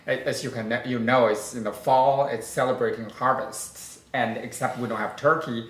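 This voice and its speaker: A middle-aged man from northern China with a Mandarin Chinese accent, hosting a casual chat and talking haltingly with many fillers. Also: medium pitch, fairly even intonation, a bit bright, echoey room. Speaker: host.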